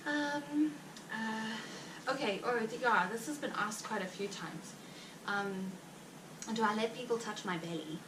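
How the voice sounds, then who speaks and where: A chatty woman with a South African Afrikaans accent talks loosely to her viewers, heavily pregnant and full of fillers and little laughs. She sits well back from the mic in a roomy space, and a steady noise runs beneath her voice. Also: a little high, far from the mic. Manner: chatty and rambling, with frequent fillers and quick laughs. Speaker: vlogger. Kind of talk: casual chat to viewers.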